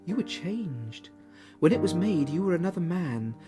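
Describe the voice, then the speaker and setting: A narrator voicing a gentle young woman who speaks softly but firmly, ending an engagement. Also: medium pitch, normal speed, music in the background, pitched above the performer's own voice, close to an everyday voice. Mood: sad, resolute. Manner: soft, steady, earnest.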